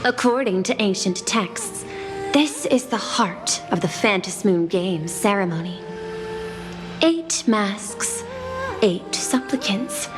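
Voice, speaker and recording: Cool logical exec lady, game cutscene, really slow and precise, reverby with music under it. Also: mid-range voice, animated. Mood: solemn. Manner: formal, precise, detached. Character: cool, logical, poised female corporate executive. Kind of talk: scripted video game voice acting. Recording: long hall reverb, music behind.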